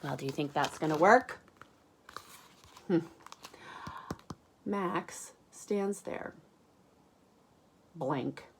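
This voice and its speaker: A woman teacher reading aloud to young children, chatting conversationally, explaining gently and asking them questions. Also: deep voice, very slow, creaky, pauses often, slight background noise. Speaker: kindergarten teacher.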